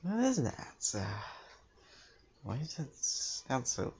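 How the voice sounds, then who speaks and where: A performer playing a frightened explorer in an amateur horror story, muttering haltingly with a creaky voice. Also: mid-range voice, big pitch swings, darkish tone, lo-fi sound, slight background noise. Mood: uneasy, drawn in. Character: frightened explorer in a creepy abandoned church, entranced. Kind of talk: amateur horror found-footage acting.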